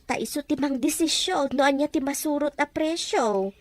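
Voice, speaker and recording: A woman's voice: high-pitched, normal speed, no room sound, close to an everyday voice. A woman playing a proud, earnest provincial mother from a farming family, who speaks in an indignant, earnest way.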